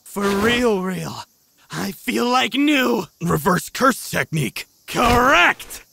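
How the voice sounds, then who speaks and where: Man voicing a manic, high-pitched cocky young sorcerer. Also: expressive, slow pace, creaky, bright, forceful tone, full character voice. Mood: giddy, manic. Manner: theatrical, gleeful rambling. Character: manic young male sorcerer, cocky, exhilarated, newly powerful. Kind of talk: anime manga fan dub.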